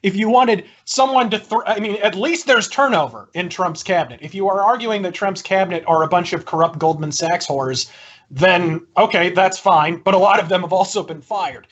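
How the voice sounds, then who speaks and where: A man's voice: high voice, normal speed, fairly bright tone, highs cut off. A man in a political debate, arguing his case in wordy, articulate sentences. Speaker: debate guest. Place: indoor room, video call.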